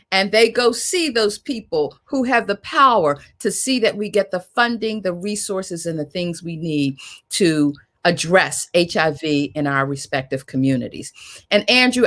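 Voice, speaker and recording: A woman's voice: mid-range voice, fairly bright tone. A woman moderator with a mid-range voice leads a virtual panel. She speaks conversationally but with emphasis, addressing guests and audience directly as a host. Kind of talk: hosting a virtual panel discussion.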